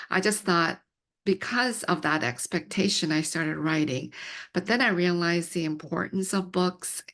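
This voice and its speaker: A woman's voice: low-pitched, fairly bright tone. A middle-aged woman who grew up in Canada, speaking reflectively and conversationally as a panel guest. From Canada, immigrated at age five. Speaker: panel guest, scholar and writer.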